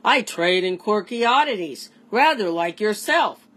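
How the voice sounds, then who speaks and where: A woman's voice: mid-range voice, expressive, a little slow, fairly bright tone. A woman voicing a sly, polite man who deals in oddities, in a children's storybook. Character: sly, polite male dealer in alien oddities. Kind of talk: children's storybook read-aloud.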